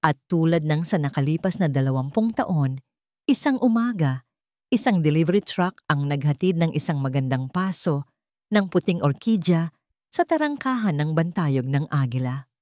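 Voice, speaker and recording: A woman's voice: low-pitched, animated, moderate pace, darkish tone, no room sound, studio quality. A woman narrating a scripted dramatic story as an audio storyteller.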